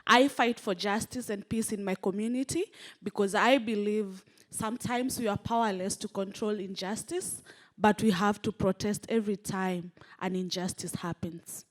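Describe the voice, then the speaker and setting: A woman's voice: medium pitch, steady tone, moderate pace. Resolute woman from Kenya. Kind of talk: personal introduction at a conference.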